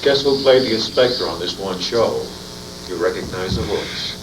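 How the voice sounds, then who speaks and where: A man's voice: sits low, animated, moderate pace. A man chatting casually like a fan, putting questions to the others. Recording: distant, roomy, noisy 1980s amateur tape.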